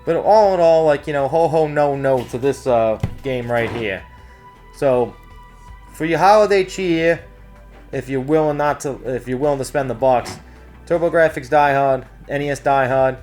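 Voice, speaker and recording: A man's voice: medium pitch, darkish tone. A man giving a casual, rambling video game review in an informal, conversational way. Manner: rambling, informal, frequent "like, you know" asides.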